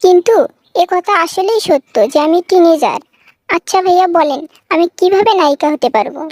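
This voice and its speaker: Woman doing a squeaky vain Bangladeshi starlet. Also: expressive, brisk, a little mellow, dry recording, clean studio sound. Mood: pleading. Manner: boastful, wheedling. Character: vain, pleading young woman desperate to be a film heroine. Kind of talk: comic cartoon dialogue.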